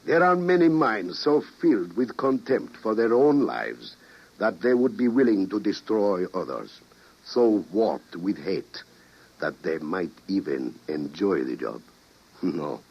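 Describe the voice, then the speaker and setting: An actor playing a grave, persuasive president with a solemn, deliberate delivery in an old radio drama. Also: male voice, low voice, very animated, breathy edge, full character voice. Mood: grimly persuasive. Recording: muffled vintage radio drama recording.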